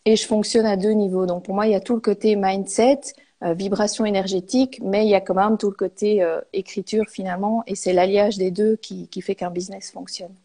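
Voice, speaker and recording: A woman's voice: medium pitch, steady tone, a little fast, mellow. A woman in her thirties from Belgium, answering interview questions hesitantly with many fillers. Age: 30s. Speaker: guest, copywriter.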